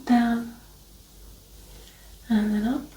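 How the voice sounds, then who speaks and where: A woman speaking softly, often dropping into a whisper, gently giving instructions as a doctor in an ASMR medical exam roleplay. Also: a little high, little pitch movement, drawn-out words. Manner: soft, partly whispered, gently instructing, reassuring. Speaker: performer playing a doctor giving an eye exam. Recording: roomy, distant mic, faint noise.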